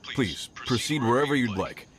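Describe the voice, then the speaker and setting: A man's voice: mid-range voice, animated, moderate pace, a crowd in the background, lightly acted. A man playing a worried, earnest mine foreman in a video game. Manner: earnest, troubled reporting. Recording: game soundtrack under voice.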